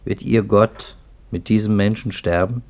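A man playing a sober, sceptical investigator in a German radio drama, speaking in a deliberate, probing, dryly philosophical way. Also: fairly low pitch, fairly even intonation, darkish tone, lightly acted. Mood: sceptical, reflective. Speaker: actor in a radio play.

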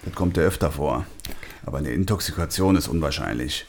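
A man's voice: a bass voice, expressive, fairly quick, darkish tone, slight background noise. A man playing a matter-of-fact, slightly dismissive emergency doctor in an audio drama, casual and a bit patronizing. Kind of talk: naturalistic audio drama dialogue.

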